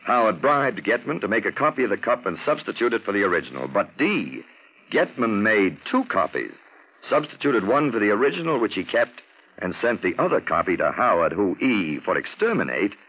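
An actor playing a suave, witty, self-satisfied gentleman detective in a 1940s radio drama, with an urbane, theatrical manner of explaining. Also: lowish voice, sing-song, brisk, slightly rough. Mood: smug, explaining. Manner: urbane, theatrical, drawling explanations in lettered points. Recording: dull, muffled vintage radio recording.

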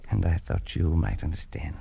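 A man's voice: a bass voice, creaky, warm tone, exaggerated voice. An actor playing a haggard, half-mad man haunted by a curse, stammering and repeating himself in an old radio drama. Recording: noisy vintage radio recording.

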